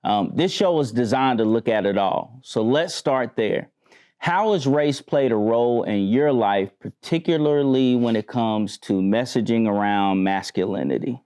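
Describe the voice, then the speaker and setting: A man's voice: medium pitch, unhurried, a little mellow, clear, resonant tone. A man hosting a discussion show, talking in a conversational way, affirming his guests and asking follow-up questions. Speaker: host.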